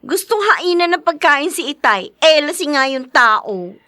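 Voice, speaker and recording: A defiant young mother in a podcast drama. Her voice sits high and sharp, and she talks in a flippant, snappy way, brash and uncaring. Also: lively intonation, unhurried, heavily acted. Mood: mocking. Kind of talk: Filipino podcast radio drama acting.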